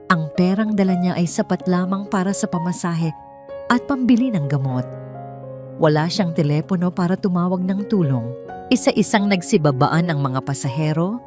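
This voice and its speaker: A woman reading a scripted story as a dramatic storyteller. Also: lowish voice. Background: soft music.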